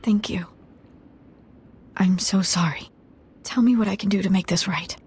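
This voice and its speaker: An actress playing a remorseful village matriarch in a video game, speaking softly and earnestly to plead for her people. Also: medium pitch, a little slow, slightly rough. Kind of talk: video game cutscene acting.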